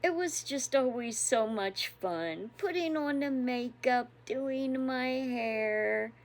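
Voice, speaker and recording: A woman in her sixties hosting a devotional channel, chatting casually and reflectively, unhurried and chuckling often. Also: high voice, lively intonation. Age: 60s. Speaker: devotional host.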